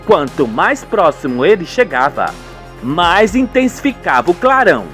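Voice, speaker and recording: A man's voice: high-pitched, very animated, measured pace, a bit bright, faint music behind. A man with a Brazilian accent giving theatrical, dramatic narration of a radio soap.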